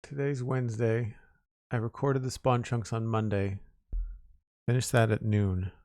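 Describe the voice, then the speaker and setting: A man streaming a building game, talking casually in a raspy, slightly hoarse voice as he thinks aloud in an unhurried, rambling way. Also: medium pitch, steady tone, darkish tone, no room sound, clean studio sound. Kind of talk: casual live-stream chat while building.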